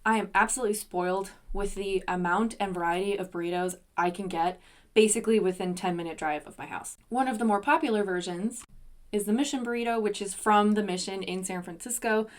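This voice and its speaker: A woman's voice: mid-range voice, brisk. A young adult woman hosting a solo podcast, chatty, with self-deprecating asides. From United States. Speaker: podcast host.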